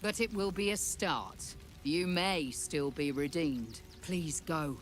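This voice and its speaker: A woman playing a stern, grieving monster-hunter leader in a video game, speaking formally and gravely. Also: low voice, soft background music. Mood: solemn, resolute. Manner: formal, grave, deliberate delivery. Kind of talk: video game dramatic acting.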